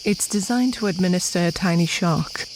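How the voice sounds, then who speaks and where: Woman acting a calm, lowish-voiced expedition researcher. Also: lightly acted. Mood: matter-of-fact. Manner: measured, matter-of-fact, dry. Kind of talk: audio drama acting. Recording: insect-filled jungle noise behind.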